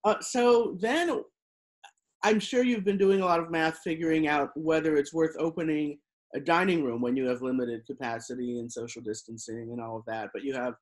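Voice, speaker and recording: A man's voice: on the high side, fairly even intonation, moderate pace. A middle-aged man interviewing a guest on a video call from a room at home, asking plain conversational questions. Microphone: laptop camera on a video call.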